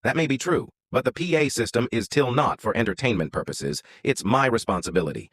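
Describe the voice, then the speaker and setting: A man's voice: mid-range voice, lively intonation, a little fast, fairly bright tone, breathy edge, dry recording, clean studio sound. A man reading out an online story as a scripted narration.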